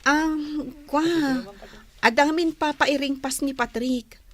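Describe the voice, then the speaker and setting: An actress playing a meek, self-pitying young office worker in an Ilocano radio drama, hesitant and apologetic. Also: female voice, high-pitched, steady tone, takes it slowly, fairly bright tone.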